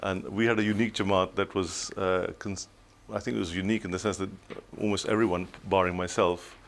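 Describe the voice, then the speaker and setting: A man's voice: deep voice, flat delivery, brisk. An older man from Tanzania, a studio guest on a telethon, speaking reflectively with many restarts.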